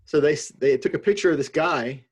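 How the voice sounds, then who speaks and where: Husky-voiced man, joking and laid-back. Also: a little high, brisk. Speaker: co-host. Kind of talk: casual chat over video call.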